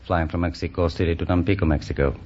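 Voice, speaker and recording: Man, late 30s, Spanish accent, very deep voice, earnest and halting, muffled old radio sound. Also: fairly even intonation, darkish tone, a little hiss or hum. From Spain. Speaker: quiz contestant. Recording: muffled 1950s radio transcription.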